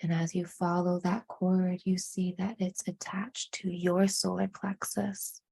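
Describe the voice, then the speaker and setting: A woman leading a guided meditation in a hushed, soothing manner, with deliberate phrasing and frequent pauses. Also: fairly low pitch, flat delivery, vocal fry, breathy edge.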